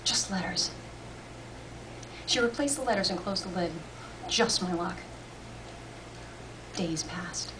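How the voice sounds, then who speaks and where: A woman reading a story aloud as expressive scripted narration. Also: lowish voice, unhurried, pauses often. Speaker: story reader. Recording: distant mic, faint room noise.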